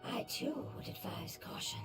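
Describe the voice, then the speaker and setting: A woman playing a composed, cautious adviser in a video game, speaking in a measured, formal way. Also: close to an everyday voice. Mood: cautious, firm. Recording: echoey, distant, under loud game music.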